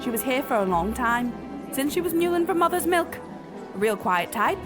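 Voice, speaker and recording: A woman's voice: high voice, big pitch swings, normal speed, music in the background. A woman acting a brusque, gossipy workhouse labourer in an audio drama.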